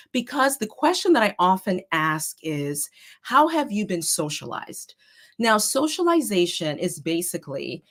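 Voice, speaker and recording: A middle-aged woman speaks as a guest presenter, her voice a little hoarse and lowish. She explains ideas in a steady, earnest way, like a therapist walking a group through a concept. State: slightly hoarse. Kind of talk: prepared talk to an online group.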